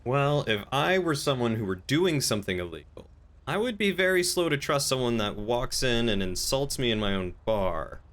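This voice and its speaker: Man playing a guarded crime boss, bright-voiced. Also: mid-range voice, sing-song, moderate pace. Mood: wary, dry. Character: guarded, shrewd crime boss who owns a bar. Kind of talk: improvised online game roleplay.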